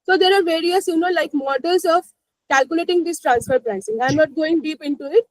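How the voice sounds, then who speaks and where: A woman's voice: very high-pitched, a little fast, no echo, low-quality recording. A woman with an Indian accent presenting a live explanatory finance lesson, peppering it with "you know" and "like" and checking that listeners follow. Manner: repeats 'you know, like' and checks understanding.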